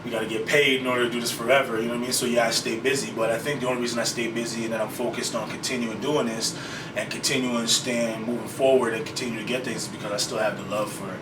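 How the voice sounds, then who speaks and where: A laid-back man who talks in loose, profane slang. He sounds set back from the microphone in an echoey room. A loud steady hum runs under his voice. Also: mid-range voice, fast talker, hardly any pauses. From Canada. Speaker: rapper guest. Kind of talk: casual interview talk. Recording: roomy, distant mic with loud background hum.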